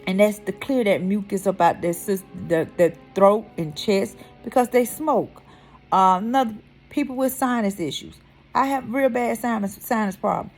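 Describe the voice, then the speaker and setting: Blunt, cussing woman with a gravelly edge. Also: mid-range voice, normal speed, darkish tone, soft background music, rough recording. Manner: blunt, heated, swears freely, repeats for emphasis. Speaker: vlogger. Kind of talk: casual ranting vlog.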